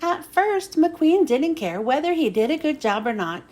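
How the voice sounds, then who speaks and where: Woman, high voice, very lively intonation, children's read-aloud narration. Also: measured pace. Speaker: storybook reader.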